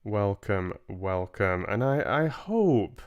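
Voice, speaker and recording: A man's voice: fairly low pitch, very animated, takes it slowly, clean tone, muffled recording. A man in his twenties chatting casually as he hosts a live-streamed book reading from a room at home. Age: 20s. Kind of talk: casual livestream chat and reading aloud. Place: small home room, indoors.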